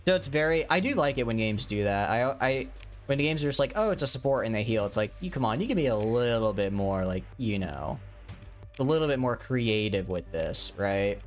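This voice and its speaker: A man's voice: medium pitch, animated, brisk, faint music behind. A young man in his twenties, live-streaming a game and chatting casually, rambling with lots of restarts and fillers. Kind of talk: casual live-stream chat while gaming.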